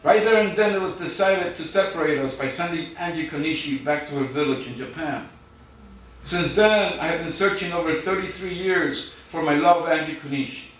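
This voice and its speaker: A middle-aged man reading his poetry live, with informal asides and a wry, deadpan storytelling manner. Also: fairly high pitch, normal speed. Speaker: poet. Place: live event in a reverberant room, audience present. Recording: very echoey hall, distant, noisy.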